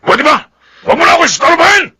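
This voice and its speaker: An actor playing a middle-aged, irritable father shielding his son in a Filipino radio melodrama, brusque and husky. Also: extremely high pitch, lively intonation, racing, pushed and bright, exaggerated voice. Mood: irritated, defensive. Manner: brusque, impatient, stonewalling.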